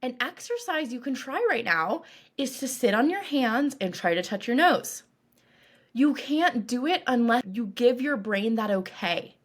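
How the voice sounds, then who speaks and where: A woman coach leading a guided meditation in a calm, reassuring, instructive way, with deliberate phrasing. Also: fairly high pitch. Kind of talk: guided meditation, scripted narration.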